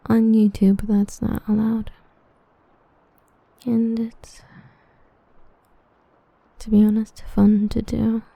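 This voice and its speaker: A woman chatting softly and breathily to listeners as an ASMR audio creator. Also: medium pitch, dry recording. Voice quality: soft, breathy. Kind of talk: soft ASMR-style outro chat to listeners.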